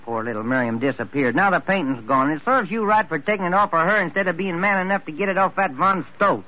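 An actor playing a folksy, indignant, plainspoken fellow with a rural American accent and colloquial scolding manner, in old-time radio drama. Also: male voice, sits high, expressive, fast talker. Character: folksy, indignant rough-hewn man, chivalrous and plainspoken. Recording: muffled, noisy old radio recording.

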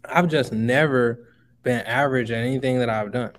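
A young man in his twenties from Chicago talks as a podcast guest. He speaks emphatically, in a motivational tone, and keeps calling the listener bro. His voice sits at an average pitch for a man. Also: moderate pace, slight background noise. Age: 20s. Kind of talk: casual podcast interview.

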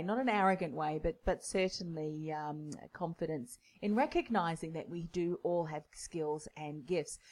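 A woman's voice: on the low side, a little mellow. A woman hosting a faith podcast, affirming her guest and speaking in long, flowing, encouraging thoughts. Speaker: podcast host. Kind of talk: interview conversation.

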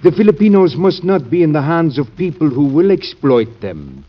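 An actor playing a grave, earnest visitor in an old-time radio drama, formal and polite. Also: male voice, on the high side, big pitch swings, moderate pace. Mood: earnest pleading. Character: earnest, secretive Spanish gentleman, grave and pleading visitor. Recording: muffled vintage radio recording.

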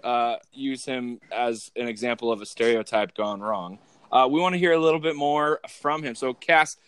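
A man's voice: medium pitch, normal speed, a bit bright, clean tone, no room sound. A man hosting a podcast in casual chat, talking with many fillers and restarts. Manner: rambling, hesitant with many fillers. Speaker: podcast host and pastor.

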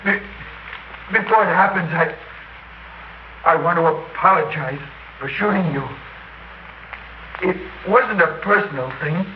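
High-voiced, faltering wounded American gunner. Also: male voice, a little slow, long pauses. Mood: remorseful. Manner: blunt, faltering, breaking off phrases. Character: wounded American helicopter gunner, helpless, bitter, scared young man. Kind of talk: classic radio drama acting. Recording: dull, roomy, noisy old radio recording.

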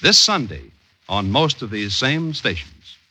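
An American man reading scripted announcements in crisp, formal, emphatic 1950s radio style. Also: mid-range voice, very animated, a little slow, pushed and bright.

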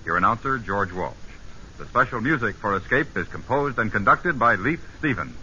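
A man's voice: lowish voice, expressive, moderate pace, highs cut off, noisy background. A man announcing scripted radio drama credits in a formal, projected vintage style, on an old broadcast recording.

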